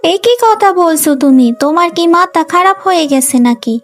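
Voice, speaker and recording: A woman's voice: very high-pitched, lively intonation, moderate pace, faint music behind. A woman voicing a pushy, scornful young daughter-in-law in an emphatic cartoon family drama style. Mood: scornful, shocked.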